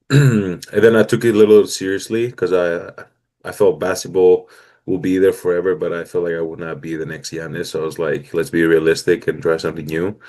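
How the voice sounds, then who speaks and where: A man's voice: low voice, flat delivery, a little fast, dark tone. A man in his twenties from Greece with a Greek accent, an upbeat and earnest guest telling his story in a casual interview. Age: 20s. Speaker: guest athlete.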